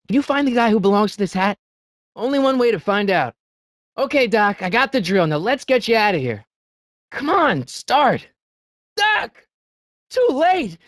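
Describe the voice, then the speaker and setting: An actor playing an excitable, wisecracking American teenage boy in a video game, with a youthful voice and a hesitant, boyish delivery. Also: high voice, no echo, studio quality. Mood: urgent, excited. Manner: boyish, hesitant, stammering asides and exclamations. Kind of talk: video game voice acting, short character lines.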